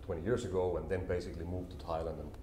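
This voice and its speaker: A man, a company CEO explaining his work calmly and in a measured way in an interview. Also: deep voice, slight background noise. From Finland. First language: Finnish. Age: 40s. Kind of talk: business interview. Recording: roomy echo, faint ambience.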